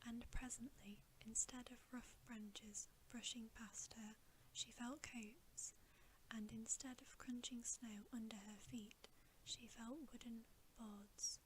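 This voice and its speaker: A woman narrating a bedtime story as ASMR in a gentle, breathy, hushed whisper. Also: a little high, steady tone, slow pace, slight background noise. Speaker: ASMR reader.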